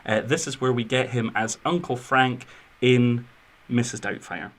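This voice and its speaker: A man's voice: medium pitch, fairly even intonation, measured pace. A man presents a film podcast in a mid-range voice. He moves between reading prepared career notes and loose, chatty asides. His manner is wry and informal. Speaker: podcast host. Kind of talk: semi-scripted film podcast chat with biographical narration.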